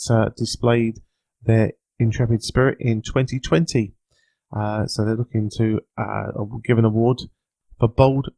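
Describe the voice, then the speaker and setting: British male podcast presenter, mid pitch, informal and hesitant, lots of filler sounds, close dry recording. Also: darkish tone, no room sound. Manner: hesitant, halting delivery with frequent filler sounds. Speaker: podcast host and news presenter. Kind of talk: informal podcast news read and interview.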